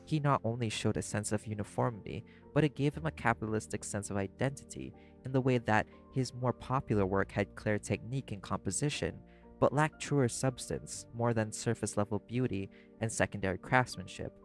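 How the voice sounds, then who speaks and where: A soft-spoken male vampire, acted by a man, talks in a low register with heavy fry in his voice. He rambles intimately in a learned, opinionated way, slipping in informal asides as if for a sleep-aid roleplay. Faint music plays behind him. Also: a little fast, close to an everyday voice. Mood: critical, musing.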